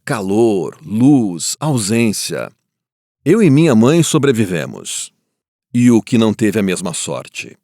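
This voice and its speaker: Guy playing a grieving war survivor, solemn reflective storytelling, pitch moves a lot, really dry sound. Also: a little mellow, no room sound. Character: grieving Japanese survivor of the Nagasaki bomb, looking back. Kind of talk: scripted first-person dramatic narration.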